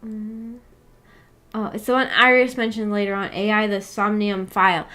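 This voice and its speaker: A woman's voice: medium pitch, little pitch movement, normal speed, sharp tone. A woman hosting a book club livestream, chatting casually and reading out viewer comments in a giggly, rambling, self-deprecating way. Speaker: livestream host of a visual novel book club.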